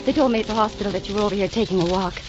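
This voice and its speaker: A woman's voice: mid-range voice, very fast, noisy background, lightly acted. An actress playing a lovelorn, wistful young woman in a 1940s radio drama, with a sentimental, earnest delivery. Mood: yearning. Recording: dull vintage radio recording, music behind.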